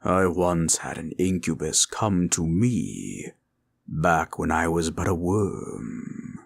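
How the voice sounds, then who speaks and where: A man playing an ancient, gentle, fatherly red dragon in an audio roleplay, speaking tenderly in old-fashioned formal phrases. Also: animated, slow pace, full character voice. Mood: grave, reminiscing. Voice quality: deep, gravelly, husky voice.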